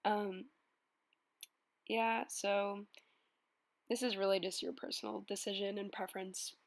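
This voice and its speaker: A young woman with a slightly hoarse voice, narrating a makeup tutorial in a relaxed, chatty way. She fills her pauses with ums as she talks through each step. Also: mid-range voice. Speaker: beauty vlogger.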